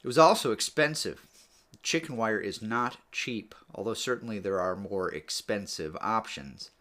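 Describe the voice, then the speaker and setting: A man narrating a story in a measured read-aloud style, letting his phrases fall away at the ends. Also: fairly low pitch, bright, forceful tone. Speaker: author or reader narrating a book read-aloud.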